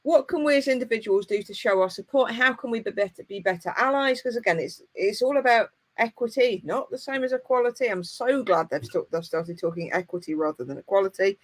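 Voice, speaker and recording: A woman's voice: mid-range voice, lively intonation, fairly quick, hardly any pauses. A woman with a mid-range voice hosts a live online conversation. She speaks passionately, building her points through emphatic rhetorical questions. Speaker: host. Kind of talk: live video interview, casual conversation.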